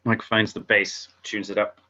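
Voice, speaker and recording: Bright-voiced man reading stage directions. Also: on the low side, little pitch movement, normal speed. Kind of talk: scripted narration at table read. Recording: dull lo-fi audio.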